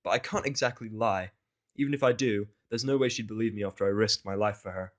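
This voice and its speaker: A man's voice: lowish voice, quick pace. A man reading scripted first-person narration for an audio drama.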